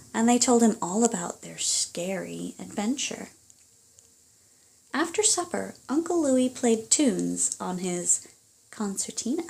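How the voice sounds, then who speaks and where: A woman reading aloud for children and parents, in an expressive, deliberate storytelling manner. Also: mid-range voice, vocal fry, poor audio. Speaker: storybook reader.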